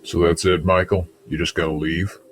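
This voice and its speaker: A man's voice: low-pitched, little pitch movement, normal speed, slight background noise, lightly acted. A man playing a troubled, volatile fugitive in a video game, blunt in manner. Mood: confused, subdued. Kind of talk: video game cutscene dialogue.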